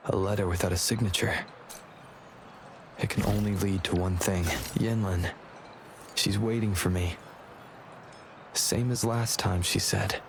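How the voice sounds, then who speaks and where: A brooding male video game hero with a lowish, gravelly voice. He speaks tersely, as if thinking aloud, over audible ambience. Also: fairly even intonation, unhurried, pauses often. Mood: pensive. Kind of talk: video game voice acting, inner monologue. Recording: ambient noise behind voice.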